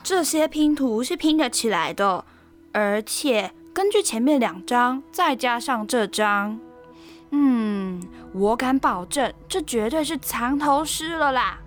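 A woman's voice: on the high side, lively intonation, slow pace, faint music behind. A woman with a Taiwanese Mandarin accent playing an eager, inquisitive young housemate in an audio drama. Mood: intrigued.

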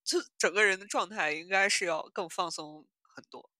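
A woman's voice: lowish voice, normal speed, bright, forceful tone, no room sound, clean studio sound. A woman co-hosting a podcast in a casual, conversational chat. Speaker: podcast co-host. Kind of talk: casual chat about audio dramas.

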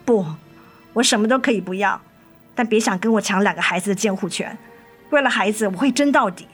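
A woman's voice: medium pitch, a little fast, close to an everyday voice. A woman acting a weary, resolute wife and mother in a radio drama, firm and reproachful with her husband. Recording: studio radio drama with music underneath.

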